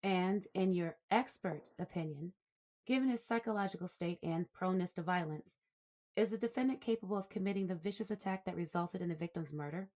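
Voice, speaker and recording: A woman playing a businesslike prosecutor in a courtroom drama, formal and deliberate. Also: on the low side, darkish tone, lightly acted. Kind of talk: audio drama acting.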